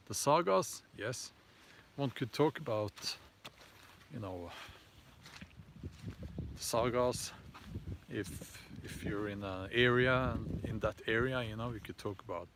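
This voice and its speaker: A man with a Norwegian accent hosting a casual live chat and answering viewer comments, talking haltingly with many fillers and restarts. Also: lowish voice, background noise. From Norway.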